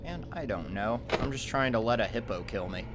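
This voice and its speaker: A man's voice: on the low side, brisk, over loud music. A man doing casual, slangy livestream commentary while playing a game, in a playful manner.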